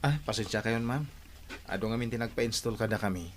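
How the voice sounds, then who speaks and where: An actor playing a polite, apologetic technician in a radio drama, with an Ilocano accent. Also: male voice, fairly low pitch, brisk, lightly acted.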